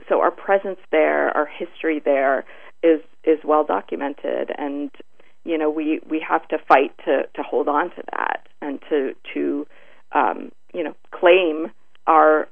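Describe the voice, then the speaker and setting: A middle-aged woman giving earnest interview answers in a halting, deliberate way, searching for her words, over a telephone. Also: low-pitched, vocal fry. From born in Beirut, Lebanon. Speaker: interview guest, writer. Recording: narrow, tinny phone line.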